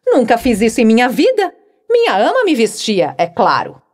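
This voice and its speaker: A woman's voice: on the high side, wildly swooping pitch, normal speed. A woman narrator voicing a spoiled, imperious young girl who speaks arrogantly and indignantly. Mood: haughty.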